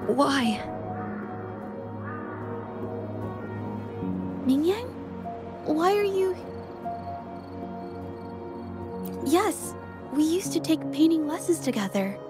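Game role: timid young woman artist, high voice, halting and unhurried, apologetic, music underneath. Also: animated. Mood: startled, hesitant. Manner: hesitant, halting, polite. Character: shy, apologetic young woman painter, soft-spoken. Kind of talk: video game voice acting.

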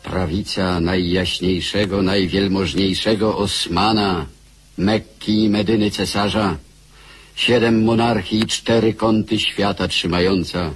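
An actor playing a solemn, stately chronicler of an old war, declaiming in a radio play. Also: male voice, low-pitched, steady tone, a little slow, husky. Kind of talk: declamatory radio drama narration.

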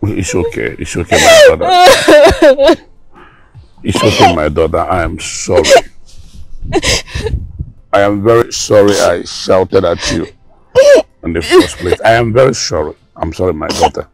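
An older man with a Nigerian accent playing an emotional, quick-tempered but tender father in a film drama, halting and repeating himself in a gravelly, husky voice. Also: low-pitched, huge pitch swings, no echo, full character voice, low-quality recording. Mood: tearful, apologetic.

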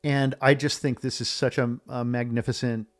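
An older man chats in a podcast studio in a loose, rambling way. He gets enthusiastic as he tells stories and quotes past conversations, and his pitch sits in the middle of the male range. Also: level tone, normal speed. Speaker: filmmaker guest and co-host. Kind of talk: casual chat about movies.

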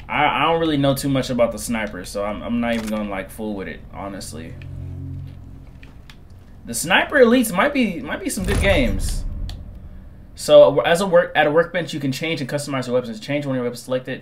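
A man in his twenties commentating on a game through a headset, excitable and slangy, repeating himself. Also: medium pitch, normal speed, slight background noise. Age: 20s. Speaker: gaming streamer. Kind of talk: casual gameplay commentary.

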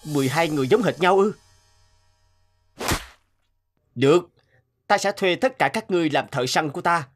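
A man's voice: fairly high pitch, lively intonation, fast, slight background noise, lightly acted. An actor playing an earnest young king in a fairy-tale cartoon, declamatory, commanding and tender. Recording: music under the voice.

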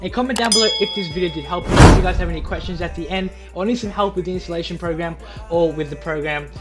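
A man's voice: sits high, fast, over loud music. A young man hosting a casual tutorial in a chatty, eager, repetitive way. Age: young adult. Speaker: YouTube tutorial host.

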